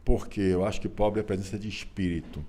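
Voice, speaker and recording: A Brazilian man, telling his family story in a relaxed interview, folksy and repetitive with frequent rhetorical tags. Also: sits low, quick pace. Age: 60s. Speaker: interview guest, author and businessman.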